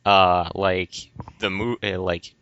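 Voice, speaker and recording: A man in a casual discussion about games, explaining things in a halting, rambling way as a podcast host. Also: deep voice, little pitch movement, gravelly, a bit bright. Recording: dull lo-fi recording.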